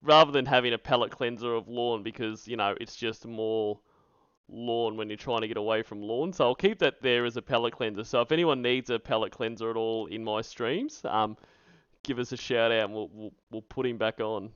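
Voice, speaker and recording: A man with an Australian accent hosts a livestream in a loose, rambling way. His voice is clean and smooth, recorded close and dry with a slightly dull top end. He talks with amusement and jokes crudely. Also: mid-range voice, normal speed, fairly bright tone, no echo. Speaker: streamer hosting a reaction stream. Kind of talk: casual rambling livestream chat.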